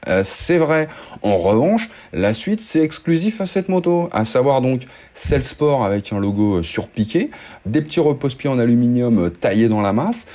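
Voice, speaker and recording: A man delivering motorcycle news in a sardonic, gravedigger-gloomy manner. His voice is dark and mellow, sitting at a middling pitch. He rambles chattily, tossing in asides and fillers as he jokes. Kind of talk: casual chatty news commentary.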